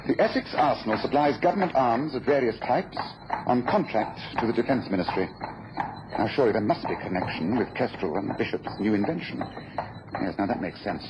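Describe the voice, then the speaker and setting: Guy acting a sharp british detective in old radio play, bossy and precise, lively pitch, muffled noisy recording. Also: close to an everyday voice. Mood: confident, explanatory. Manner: brisk, precise, commanding, explanatory. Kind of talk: mid-century British radio drama acting.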